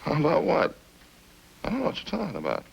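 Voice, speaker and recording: A man's voice: on the high side, wildly swooping pitch, fast, vocal fry, slightly rough, exaggerated voice, rough recording. An actor playing an evasive male ex-convict suspect in a 1950s radio crime drama, full of indignant, slangy denials. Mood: defensive. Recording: hissy old-time radio recording.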